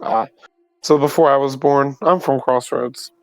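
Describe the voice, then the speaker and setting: A man's voice: medium pitch, steady tone, a little slow, creaky, soft background music. A man playing a tabletop game, chatting casually. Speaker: player.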